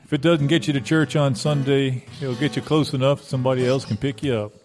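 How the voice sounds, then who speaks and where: A man's voice: fairly quick, lightly acted. A man improvising a folksy preacher who deadpans hellfire and used car deals in a parody radio ad. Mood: solemn folksy pitch. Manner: homespun, deadpan mix of sermon and sales pitch. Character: folksy fire-and-brimstone Baptist preacher selling used cars. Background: guitar music.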